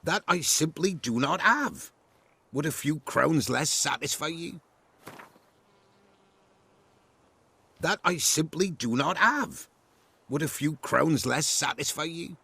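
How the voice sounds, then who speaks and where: An actor playing a fearful, deferential peasant villager in a video game, speaking. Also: male voice, fairly high pitch, lively intonation, measured pace, vocal fry, sharp tone, breathy edge, heavily acted. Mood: eager haggling. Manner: rustic, deferential, storytelling. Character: fearful, deferential male peasant villager warning of a bog monster. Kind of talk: video game character acting.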